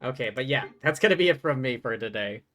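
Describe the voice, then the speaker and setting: A man's voice: mid-range voice, animated, fast talker, fairly bright tone, a little hiss or hum. A man livestreaming a game with casual commentary, chatty and thinking aloud. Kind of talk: casual live stream commentary.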